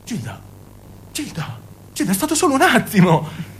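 An actor playing a smooth-talking young man, a coaxing charmer in a radio play. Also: sits high, wildly swooping pitch, normal speed, loud music behind the voice. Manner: coaxing, wheedling, with sudden laughs.

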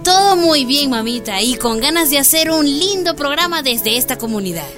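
An eager young reporter in a radio drama. Her voice is high and conversational, with music in the background. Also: animated, normal speed. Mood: eager, friendly. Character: young female community radio reporter, eager, easily dismayed. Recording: music under the voice.

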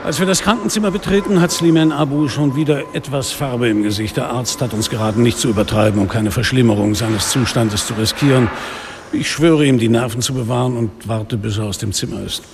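An actor playing a sardonic, world-weary police inspector who narrates a radio drama in a dry, deliberate manner. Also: male voice, medium pitch, vocal fry, noisy background, lightly acted. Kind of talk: radio drama acting, literary first-person narration. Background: music.

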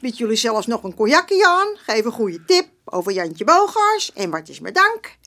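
Here is a proud old neighbourhood woman with a big mouth and a rather high voice. She talks bluntly, quick to take offence, in the style of a radio play. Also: sing-song, a bit bright, exaggerated voice. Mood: indignant, scornful. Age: older. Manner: indignant, blunt, colloquial. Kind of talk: Dutch radio drama acting.

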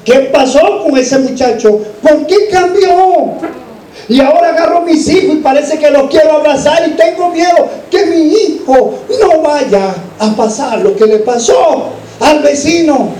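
A man's voice: very high-pitched, a little slow, mellow. A man preaching an evangelical sermon to a congregation, exhorting them with rhetorical questions and repeated phrases. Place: large echoing room, church service. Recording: roomy echo, distant microphone.

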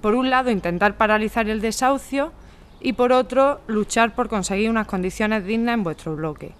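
A woman playing a calm, practical veteran housing activist in a radio drama, explaining things in an organised way. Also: a little high, moderate pace, clear, resonant tone, close to an everyday voice. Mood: calm, helpful. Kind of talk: amateur radio drama acting.

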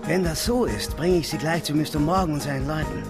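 A man's voice: on the high side, fast talker, over music, natural voice. An actor playing a slick, scheming confidence man with a persuasive way of talking in a German radio crime play. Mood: conspiratorial, persuasive. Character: Gus Parsons, slick, scheming confidence trickster.

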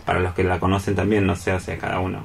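An Argentine man speaks in a fairly low voice, set somewhat back from the microphone. He talks reflectively as a radio co-host, halting and rephrasing while he thinks aloud. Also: monotone, creaky, far from the mic. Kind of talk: casual, reflective radio conversation. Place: radio programme conversation.

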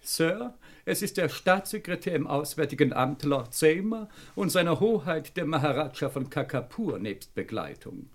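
A timid, formal butler in an old radio crime play. His voice sits fairly high and moves expressively. He stays deferential throughout. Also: very animated, normal speed, hardly any pauses. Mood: formal announcing. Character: formal, timid, long-suffering male butler. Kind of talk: mid-century German crime radio comedy acting.

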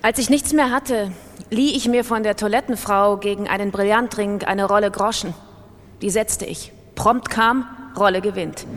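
A woman giving a scripted reading of first-person accounts in a radio drama. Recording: long reverb, faint rumbling noise.

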